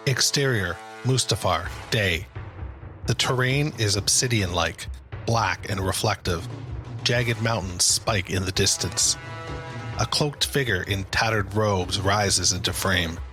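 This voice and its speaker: A man's voice: deep voice, slow, sharp tone. A man giving scripted dramatic narration as the narrator of an audio drama. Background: music.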